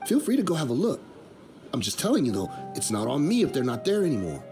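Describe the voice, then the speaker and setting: A man's voice: a little high, huge pitch swings, fast talker, music in the background. A man acting a shifty, cornered informant in a video game, casual, defensive and wheedling. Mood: reluctant, wheedling.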